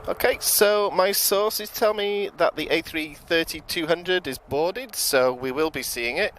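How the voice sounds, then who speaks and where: A man with a British accent giving relaxed live commentary outdoors at an airport, chatting to viewers with frequent ums and self-corrections. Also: on the high side, measured pace, a little hiss or hum, rough recording. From United Kingdom. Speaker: live plane-spotting commentator. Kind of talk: live-stream commentary, reading out viewer chat.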